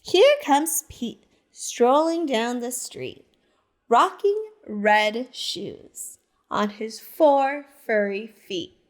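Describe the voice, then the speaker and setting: Woman librarian for a kids' read-aloud, high and very animated, unhurried pace. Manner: slow, expressive storytelling that asks the listener questions. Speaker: teacher librarian. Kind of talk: reading a picture book aloud to children.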